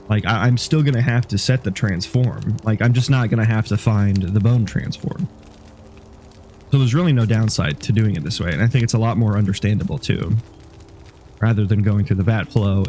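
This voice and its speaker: A man's voice: fairly low pitch, a little mellow, a little hiss or hum. A sniffly man, a game developer, talking casually through his code and rambling as he thinks aloud. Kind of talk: casual dev log.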